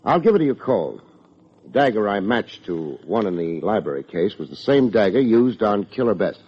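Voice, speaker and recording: An actor playing a wry, wordy hard-boiled private detective with dry sardonic delivery, in old-time radio drama style. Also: male voice, lowish voice, very animated, normal speed, husky. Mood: probing. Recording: muffled old radio recording with music.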